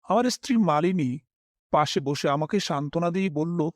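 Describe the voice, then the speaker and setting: A man acts as a dutiful, troubled family man narrating his own animated horror tale. His voice is high and swings widely in pitch, dramatic and emotive, in a dry, close recording. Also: moderate pace, no echo, natural voice. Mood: sombre, reflective. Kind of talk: first-person horror story narration with acted dialogue.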